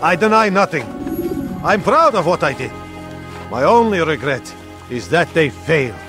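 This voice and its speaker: A man's voice: fairly high pitch, sing-song, moderate pace, over music. An actor playing an impassioned, defiant traitor who declaims his beliefs in a dramatic video game. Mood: defiant, resolute. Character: impassioned male traitor preaching defiantly to a crowd.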